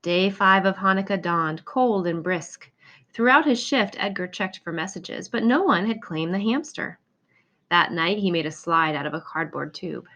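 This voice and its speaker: A woman reading a children's picture book aloud in an expressive storytelling manner. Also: on the low side, normal speed. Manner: expressive, acts out exclamations and dialogue. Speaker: storybook reader.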